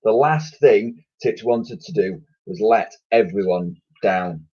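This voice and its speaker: A male teacher reading a children's book aloud, lively and expressive. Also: fairly low pitch, slow pace, slightly rough, muffled recording.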